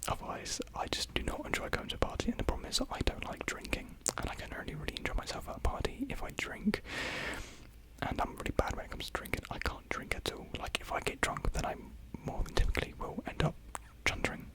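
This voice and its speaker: A young adult whispering softly and breathily into a close microphone in a casual ASMR chat, answering viewer comments in a hesitant, rambling, self-deprecating way. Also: fast talker, poor audio.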